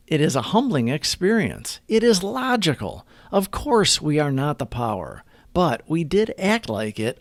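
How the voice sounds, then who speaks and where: A man reading a scripted daily meditation and reflection in an earnest, measured manner. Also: on the high side, dry recording.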